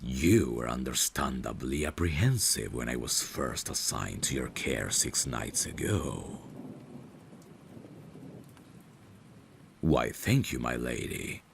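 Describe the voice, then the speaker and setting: Male actor as ancient vampire butler, extremely low voice, slow measured delivery, formal, gentle, devoted, ASMR drama. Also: lively intonation, slight background noise, full character voice. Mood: gently fond. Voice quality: very deep, creaky. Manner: formal, deferential, measured servant's phrasing. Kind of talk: ASMR roleplay, dramatic scripted acting.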